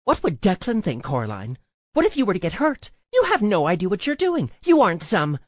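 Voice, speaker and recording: A woman's voice: mid-range voice, huge pitch swings, fairly quick, no echo, studio quality. Audiobook role: woman as snobbish, bitter young woman, scornful and snappish, swooping intonation. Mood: scolding.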